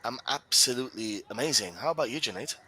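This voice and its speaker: A man's voice: mid-range voice, expressive, quick pace, bright, forceful tone, a little hiss or hum, rough recording. A man from Gibraltar doing live play-by-play football commentary, chatty and reading out viewer questions.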